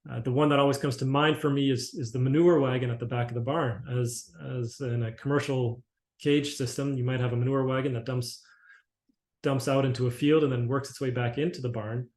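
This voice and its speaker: A man's voice: mid-range voice, fairly even intonation, brisk, darkish tone. Man rambling through a webinar talk. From Canada. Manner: rambling, with frequent restarts and fillers. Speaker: company presenter. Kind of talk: webinar presentation. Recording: echoey room.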